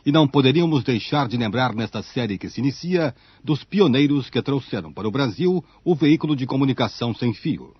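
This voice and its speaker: A man's voice: medium pitch, animated, brisk, few pauses, husky, dry recording. Formal man narrating, dull old recording. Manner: formal, rhetorical. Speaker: radio documentary narrator. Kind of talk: scripted 1980s radio documentary narration. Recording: dull archival shortwave-era radio recording.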